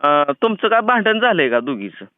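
A man with a notably high, smooth voice and a rural Maharashtrian accent. He talks in a chatty, persistent, colloquial way, like a prank caller teasing someone. The sound is thin and telephone-like. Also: sing-song, brisk, no echo. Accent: rural Maharashtrian Marathi. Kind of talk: casual teasing phone chat. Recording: tinny, phone-like band-limited sound.

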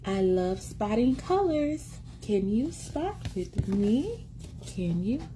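A woman in her thirties reading a picture book aloud to young children in an expressive, sing-song, encouraging way, repeating words for them. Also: medium pitch, takes it slowly, slight background noise. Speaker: children's read-aloud host and teacher. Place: small indoor room at home. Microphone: phone or tablet at arm's length.

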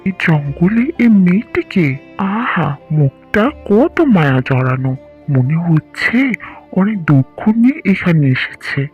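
A gentle young prince, trapped in a tree, speaks earnestly in a cartoon, voiced by a man. His husky voice moves expressively. The audio is dull and lo-fi with music behind. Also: a little high, expressive, a little slow.

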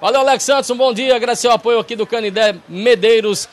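A man's voice: extremely high pitch, quick pace. A man in his thirties with a Northeast Brazilian accent, reporting live for radio from a pharmacy on a handheld mic, upbeat and chatty. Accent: Northeast Brazilian Portuguese. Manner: upbeat, chatty, addresses listeners directly. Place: large bright pharmacy, indoors. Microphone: handheld station mic.

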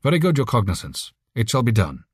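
A man's voice: mid-range voice, huge pitch swings, a little fast, husky, no room sound, clean studio sound, voice pushed up. A man voicing a worried, deferential alien scientist in an audiobook story, speaking formally. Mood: obedient. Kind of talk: audiobook character reading.

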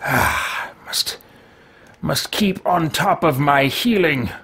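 Guy doing an old wizard for a game playthrough, talks to himself all formal and archaic, gravelly, kinda slow, bit of noise behind. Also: on the high side, bright, forceful tone, heavily acted. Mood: pensive, muttering. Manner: formal, archaic, theatrical self-talk. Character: elderly male wizard, earnest, fussy and old-fashioned, muttering to himself. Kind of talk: in-character video game roleplay commentary.

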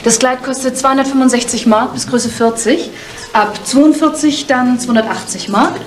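Actress as high-voiced polite saleswoman. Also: moderate pace, a little hiss or hum, close to an everyday voice. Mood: businesslike. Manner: polite, businesslike, reassuring. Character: polite, persuasive middle-aged saleswoman in dress shop. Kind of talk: 1980s TV film acting.

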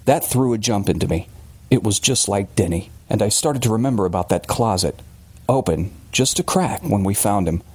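A man's voice: fairly low pitch, animated, normal speed, a little mellow, breathy edge, no room sound. A man playing an agitated, defensive working-class father confessing a horror in blunt, colloquial words, as in an audiobook. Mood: haunted, anguished.

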